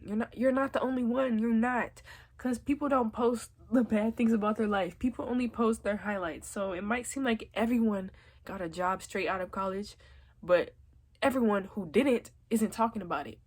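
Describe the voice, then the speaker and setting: A young woman talks to camera in a loose, chatty vlog, her voice husky and slightly breathy. A head cold leaves her nose stuffed up. She reassures the listener warmly, dropping frequent "like" and "literally.". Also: on the high side. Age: young adult. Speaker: vlogger. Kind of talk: casual advice vlog.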